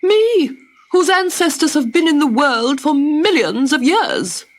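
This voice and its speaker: A woman's voice: high-pitched, big pitch swings, measured pace, voice pushed up. A woman voicing a haughty, pompous male platypus who lectures grandly and drawls with boredom. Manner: lofty, scolding, then bored and drawling. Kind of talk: storybook character voice.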